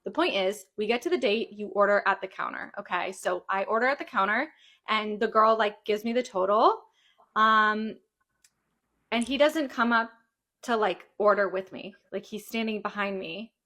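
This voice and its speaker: A woman's voice: mid-range voice, moderate pace, bright, forceful tone. A woman in her late twenties telling a personal story in casual chat, with an emphatic, indignant manner. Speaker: storyteller recounting a personal experience.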